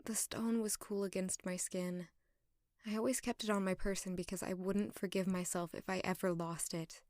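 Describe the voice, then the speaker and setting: A woman playing a dreamy first-person narrator in an audio drama, soft and reflective. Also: mid-range voice, little pitch movement, moderate pace, dry recording, studio quality, lightly acted. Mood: wistful.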